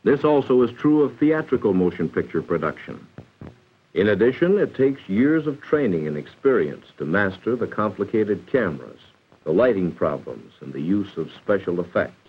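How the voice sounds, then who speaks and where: A man's voice: lowish voice, big pitch swings, moderate pace, husky, phone-quality sound. An American man reading scripted 1940s educational film narration in a formal, instructive, carefully enunciated way, on an old film soundtrack. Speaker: narrator of a vocational training film.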